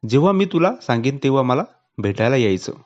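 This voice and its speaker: A man's voice: mid-range voice, big pitch swings, fairly quick, darkish tone, lo-fi sound, natural voice. A man voicing a young male student in a narrated story, blunt and insistent. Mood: demanding. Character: young male student, infatuated, later coercive.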